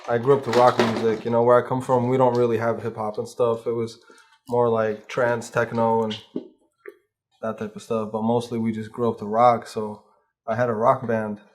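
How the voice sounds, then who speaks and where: A young man from Palestine, a hip hop artist, chatting in a low-key, laid-back way while getting a haircut in a barbershop. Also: level tone, normal speed, slight background noise. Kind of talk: casual interview chat.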